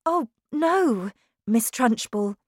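A woman voicing a timid, kind young schoolteacher who pleads in a high, anxious voice. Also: sing-song, takes it slowly, no room sound, studio quality, full character voice. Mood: pleading.

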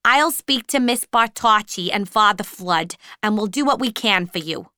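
A woman voicing a brisk, concerned female shop supervisor in a story, firm but caring. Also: medium pitch, animated, sharp tone, clear, resonant tone, no echo, studio quality.

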